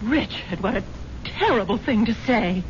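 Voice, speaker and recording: An actress playing a gullible, earnest wealthy wife who is no longer young, speaking in a genteel, gushing way in a 1970s radio drama. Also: female voice, mid-range voice, animated, moderate pace, close to an everyday voice. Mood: earnest, indignant. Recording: dull, slightly noisy 1970s radio recording.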